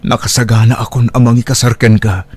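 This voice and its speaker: A man's voice: mid-range voice, little pitch movement, fast, a little mellow, breathy edge, natural voice. An actor playing an affectionate single father courting his first love in an Ilocano radio drama, tender and earnest, with an Ilocano accent.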